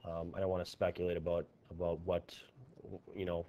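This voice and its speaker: A man with a low-pitched, relaxed voice anchors live local news. He talks off the cuff, halting as he looks for the right words. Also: a little hiss or hum. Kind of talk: live unscripted flood coverage commentary.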